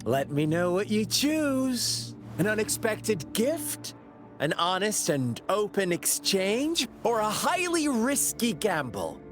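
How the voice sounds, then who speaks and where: A man's voice: sits high, wildly swooping pitch, slow pace, a bit bright, exaggerated voice. An actor playing a sly, mocking young male villain in a game cutscene, teasing and taunting. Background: game music.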